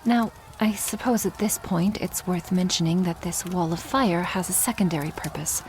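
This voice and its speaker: A woman voicing a protective, tender female dragon in a soft, intimate ASMR roleplay, with formal wording and a hushed, often half-whispered delivery. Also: lowish voice, moderate pace, a little hiss or hum, natural voice, low-quality recording. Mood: composed, resolute.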